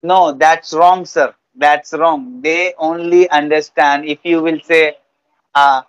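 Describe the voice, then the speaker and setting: A man's voice: fairly high pitch, steady tone, slow pace, smooth, no echo, muffled recording. A young Indian-accented man, an English trainer in conversational speaking practice, who repeats phrases for emphasis on a video call. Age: young adult.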